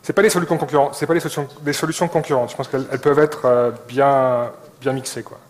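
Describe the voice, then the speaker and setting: A man's voice: medium pitch, fairly even intonation, quick pace. A man talking casually as a conference co-speaker, often repeating words as he answers questions. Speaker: conference co-speaker, software developer. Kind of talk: informal conference Q&A.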